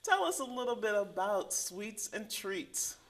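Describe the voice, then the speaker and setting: A woman hosting a community interview program, introducing her guest and asking questions in a measured style. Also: medium pitch, sing-song, a bit bright, poor audio. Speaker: interview host.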